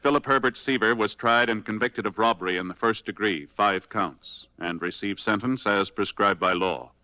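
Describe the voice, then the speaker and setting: A forceful American male announcer from vintage radio, lowish and bright, with light music behind. His delivery is formal, solemn and deliberate. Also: poor audio. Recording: vintage 1950s radio recording.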